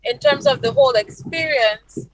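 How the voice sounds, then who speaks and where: A woman's voice: very high-pitched, huge pitch swings, moderate pace, gravelly, no room sound, poor audio. A Grenadian woman giving enthusiastic interview answers as a guest, effusive and emphatic.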